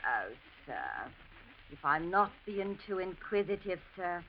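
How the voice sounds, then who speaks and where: Servile, sinister cook, muffled old radio. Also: female voice, lowish voice, sing-song, slow, slight background noise, full character voice. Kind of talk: 1940s radio acting.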